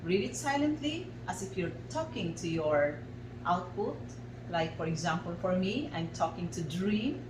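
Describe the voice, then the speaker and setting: A woman with a Filipino accent guides an art exercise as a facilitator, giving deliberate instructions in short, separated phrases. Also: on the low side, expressive. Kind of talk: slow guided instruction for a radio lesson. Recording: echoey room, clear background noise.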